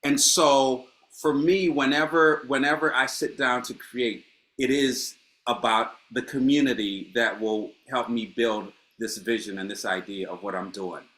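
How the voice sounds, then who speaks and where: A man's voice: mid-range voice, fairly even intonation, measured pace, fairly bright tone, poor audio. A middle-aged man from Honduras, a playwright speaking reflectively in a panel conversation, with many restarts and fillers.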